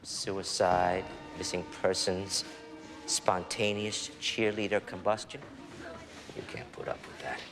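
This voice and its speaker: An actor playing a stern, scornful high school principal in a TV drama, clipped and sardonic. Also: male voice, flat delivery, takes it slowly. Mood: exasperated. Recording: long hall reverb, music behind.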